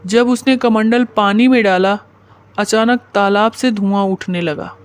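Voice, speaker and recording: Male narrator for scripted story, high pitched, smooth and crisp. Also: fairly even intonation, unhurried.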